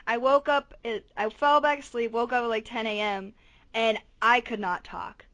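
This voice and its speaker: A woman co-hosting a casual podcast, a little hoarse, telling a rambling story full of "like" fillers. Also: a little high, fairly even intonation, normal speed, fairly bright tone. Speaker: podcast co-host. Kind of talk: casual chat.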